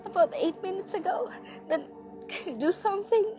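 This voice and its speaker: Radio drama: woman as anxious, demanding invalid wife, shrill-high register, agitated, indignant. Muffled recording, music underneath. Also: animated, a little fast. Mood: insistent, fretful. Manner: agitated, indignant, insistent, fretful. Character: anxious, demanding, imperious bedridden married woman. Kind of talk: radio play acting.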